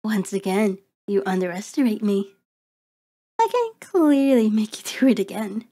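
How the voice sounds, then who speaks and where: A woman playing a seductive, predatory vampire in an ASMR roleplay, soft and breathy with a coaxing, commanding manner, often slipping into a whisper. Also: medium pitch, animated. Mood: commanding, menacing. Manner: slow, deliberate, coaxing, controlling.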